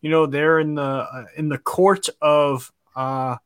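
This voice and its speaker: A man with a bright, ringing voice that sits a little higher than most, co-hosting a casual live talk show. He teases his guests in easy banter, and the sound is close and dry with no room around it. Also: steady tone, unhurried, dry recording. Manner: jokey, teasing. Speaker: podcast co-host.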